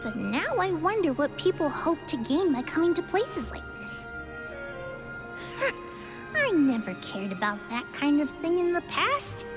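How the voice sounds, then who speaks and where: An actress playing a sassy, proud little sprite in a video game, with a cheeky, dismissive manner. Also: female voice, high voice, big pitch swings, measured pace, over music, full character voice. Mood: musing, aloof.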